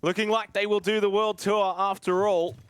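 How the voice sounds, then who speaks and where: A man's voice: sits high, steady tone, clear, resonant tone. A man giving analytical live commentary on an esports match. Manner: analytical, measured play-by-play. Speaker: esports caster.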